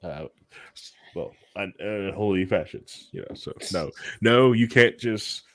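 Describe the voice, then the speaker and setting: A man's voice: lowish voice, measured pace, fairly bright tone, no echo. A man co-hosting a podcast with casual joking banter, playful and mock-serious in manner. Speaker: podcast co-host.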